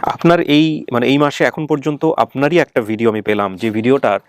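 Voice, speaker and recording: A man's voice: mid-range voice, brisk, no room sound, clean studio sound. A man with a Bangladeshi accent hosting an interview, insistently repeating his questions and explaining things at length. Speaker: show host and interviewer. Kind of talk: probing conversational interview.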